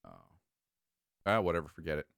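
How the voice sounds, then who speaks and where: A man's voice: deep voice, fairly quick, fairly bright tone, clean tone, no room sound, clean studio sound. A man co-hosting a casual chat, joking around and sometimes trailing off mid-thought. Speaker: podcast co-host.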